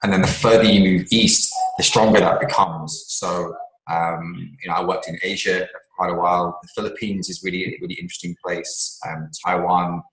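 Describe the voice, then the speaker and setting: A man's voice: sits low, fairly even intonation, moderate pace, fairly bright tone, roomy sound, low-quality recording. A man from England, an author and scientist, answering interview questions at length with many ums and restarts.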